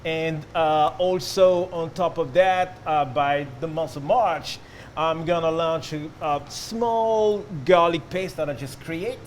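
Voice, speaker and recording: High-voiced French chef, noisy background. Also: male voice, steady tone, slow pace. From France. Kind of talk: casual cooking demonstration. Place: restaurant-style kitchen. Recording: noisy kitchen ambience.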